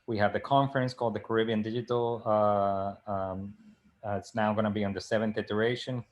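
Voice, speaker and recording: A man's voice: lowish voice, fairly even intonation, highs cut off. Hesitant middle-aged man from the Dominican Republic. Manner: halting, many "uh" fillers and restarts. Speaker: librarian and co-host. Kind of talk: casual live-stream discussion. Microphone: video call.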